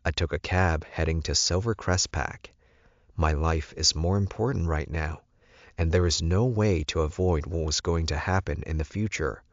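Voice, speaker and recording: A man's voice: rumbling low, normal speed, no room sound, highs cut off. A man narrating a first-person story as a scripted audiobook reading.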